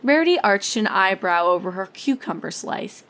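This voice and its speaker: Lively woman narrating a story. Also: medium pitch, moderate pace. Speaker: narrator, fan fiction reader.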